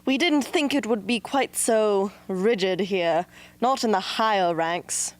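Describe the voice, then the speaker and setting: A woman playing a brisk, image-obsessed film director in a radio play, theatrical and condescending. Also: medium pitch, natural voice. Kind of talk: amateur radio drama acting.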